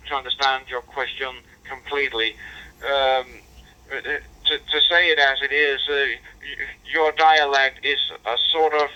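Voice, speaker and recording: Bright-voiced Danish man on a radio link. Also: medium pitch, smooth, muffled recording. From Denmark. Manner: hesitant, many fillers and restarts, courteous. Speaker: ham radio operator. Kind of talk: two-way radio chat.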